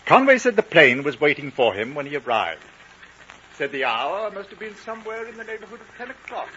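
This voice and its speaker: An actor playing a gentleman storyteller in a radio drama, musing expressively and with admiration. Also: on the high side, sing-song, fairly quick, background noise. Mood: wondering, admiring. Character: animated gentleman storyteller admiring his adventurer friend. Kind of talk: 1950s radio drama acting. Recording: muffled old radio broadcast.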